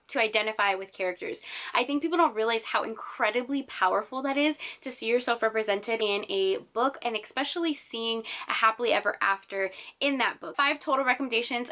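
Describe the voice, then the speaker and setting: A young woman with a slightly raspy voice from a cold, chatting with enthusiasm to her camera as she recommends books. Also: on the high side, bright, forceful tone. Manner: bubbly, enthusiastic, says "like" often. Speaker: book channel host. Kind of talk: casual book recommendations to camera.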